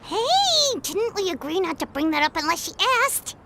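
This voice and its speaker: A woman voicing a small, childlike, excitable fairy companion and guide in a video game, with a squeaky, perky delivery. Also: moderate pace, slight background noise, full character voice. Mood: teasing. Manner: perky, refers to herself in third person. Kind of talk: animated video game voice acting. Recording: music behind the voice. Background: game music.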